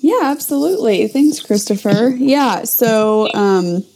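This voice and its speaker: Young woman, earnest and grateful, mellow warm tone, casual video-call sharing. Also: fairly high pitch, animated, takes it slowly. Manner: earnest, many fillers and restarts. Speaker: guest participant. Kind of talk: casual sharing in a faith discussion.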